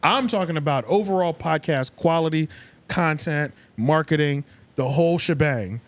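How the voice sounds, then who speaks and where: A man's voice: fairly high pitch, moderate pace. A man hosting a casual podcast discussion, agreeable and tentative as he searches for his words. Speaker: podcast host.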